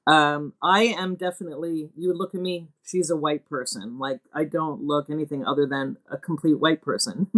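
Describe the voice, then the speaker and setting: A woman's voice: deep voice, normal speed, fairly bright tone, rough recording. A woman interviewed as a theatre artist, reflective and hesitant, working through her thoughts as she speaks. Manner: hesitant, deliberating, self-questioning.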